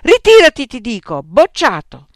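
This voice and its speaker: A reader voicing a stern old male school examiner who shouts in exasperation as he loses patience. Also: sits high, expressive, a little slow, vocal fry, no room sound, clean studio sound, voice pushed up, full character voice. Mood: shouting.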